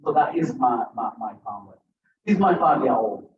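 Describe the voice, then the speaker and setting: A man's voice: medium pitch, lively intonation, normal speed, a little hiss or hum. A man from Uganda speaking at an author event, chatting with the audience and joking between stories. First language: a language other than English. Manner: conversational, jokes with the crowd. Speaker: author at a book talk. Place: bookstore event room. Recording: roomy, distant, dull bookstore recording.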